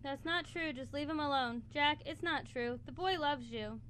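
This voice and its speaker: A woman's voice: high-pitched, moderate pace, a bit bright. A woman acting a kind, persistent young female toy, coaxing and earnest, in a student radio play. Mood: protective. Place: college radio studio, live broadcast. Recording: clear background noise in radio studio.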